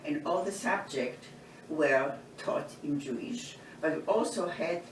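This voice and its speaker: A woman, from eastern Poland, giving oral history testimony in an unhurried storytelling way, often restarting her phrases. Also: low-pitched. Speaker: Holocaust survivor interviewee. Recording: roomy, distant mic, background noise.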